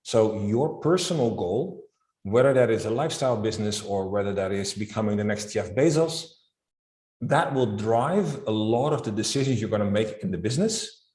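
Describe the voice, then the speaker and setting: A man with a Dutch accent, a guest accounting expert, explaining his views in a calm, structured way. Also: fairly low pitch, moderate pace, reverberant. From Netherlands. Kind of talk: interview answers.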